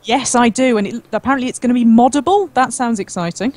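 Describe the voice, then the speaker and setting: A woman's voice: fairly high pitch, fast, a little mellow. A woman speaking as a guest on a gaming show, chatting casually and frankly about her opinions. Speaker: guest, gaming video creator. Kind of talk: casual chat.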